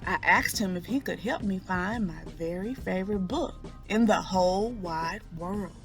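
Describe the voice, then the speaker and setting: A woman reading a picture book aloud to children in an expressive storytelling style, drawing out key words. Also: medium pitch, normal speed, soft background music.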